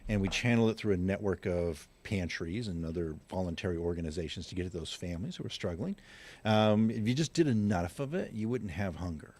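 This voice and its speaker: Deep-voiced man in his sixties. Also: darkish tone. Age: 60s. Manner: measured, explanatory. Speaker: guest, food bank chief executive. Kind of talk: radio interview answers.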